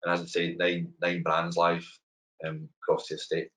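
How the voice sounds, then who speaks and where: Deep-voiced Glaswegian man presenting. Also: moderate pace. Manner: relaxed and conversational, frequent ums and repeated words. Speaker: head of open banking technology, webinar speaker. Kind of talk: webinar presentation. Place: home room, indoors. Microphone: webcam on a video call.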